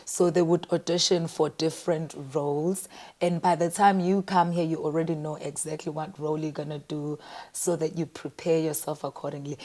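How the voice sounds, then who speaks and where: A South African woman with a South African accent, a singer answering interview questions in an animated way and often repeating words as she thinks. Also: deep voice, moderate pace. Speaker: guest singer and entrepreneur.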